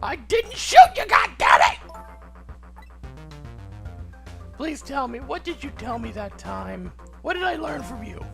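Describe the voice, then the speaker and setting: Woman acting a high, excitable brash lady detective. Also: moderate pace, vocal fry, faint music behind, full character voice. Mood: defensive, upset. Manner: emphatic, defensive, excitable. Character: brash, headstrong young female detective, highly strung.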